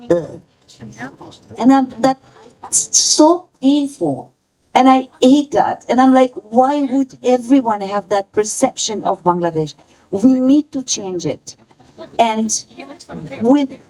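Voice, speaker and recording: A woman speaking on a panel about the fashion brand she founded, halting and emotional, often searching for her words. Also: medium pitch, a little mellow, rough recording. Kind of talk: panel talk.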